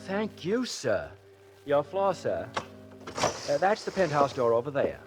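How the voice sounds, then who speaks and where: An obliging elevator attendant in 1940s radio style. He is polite, with a lightly high, very animated voice and music underneath. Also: measured pace. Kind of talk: 1940s radio drama acting.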